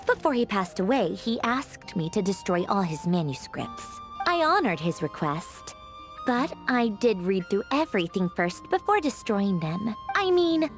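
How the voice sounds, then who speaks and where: A haughty young woman genius from a video game, sardonic and offhand. Her delivery swings widely in pitch with dry theatrical flair, and music plays behind her. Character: haughty, sharp-witted young woman, a mecha-making genius. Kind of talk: scripted video game voice acting.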